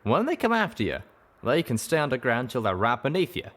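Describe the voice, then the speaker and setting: A man's voice: mid-range voice, huge pitch swings, a little fast, a bit bright, pitched above the performer's own voice. A man voicing a plainspoken, wary pegasus cowboy in an audiobook, with a colloquial drawl. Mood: warning. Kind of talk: audiobook character dialogue.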